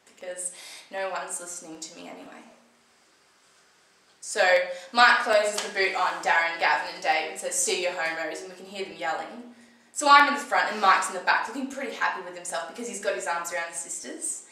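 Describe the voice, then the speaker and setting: A young woman acting a conversational monologue as a shaken, defensive teenage girl, telling her story in colloquial, halting bursts. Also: fairly low pitch, bright, forceful tone. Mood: subdued, uneasy. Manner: colloquial, swearing, halting then breathless retelling. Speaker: acting student performing a monologue. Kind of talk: dramatic screen-acting monologue, conversational first-person storytelling. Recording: echoey room, slightly distant microphone.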